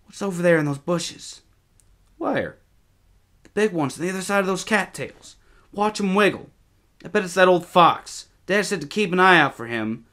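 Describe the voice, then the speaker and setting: An excitable young boy from a storybook, acted out by a man. The voice is pitched high and sharp, with a taunting, exclaiming way of talking. He bickers like a brother out in the woods. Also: normal speed. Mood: bickering.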